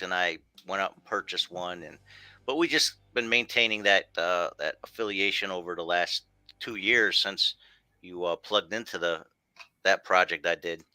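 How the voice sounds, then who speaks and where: A man's voice: deep voice, sharp tone, clear, resonant tone, no room sound. A man hosting an interview show in a loose, rambling conversational way. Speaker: show host. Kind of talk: casual interview chat.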